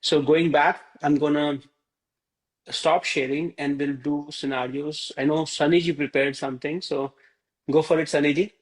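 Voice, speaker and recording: A man's voice: a little high, steady tone, moderate pace, rough recording. A man from India teaching a Hindi class over a video call, rambling and full of fillers like "you know".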